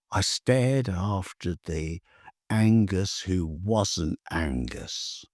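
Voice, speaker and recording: A man reading his own literary prose for a podcast, measured and deliberate, leaving long pauses between phrases. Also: low voice, darkish tone, no echo, clean studio sound.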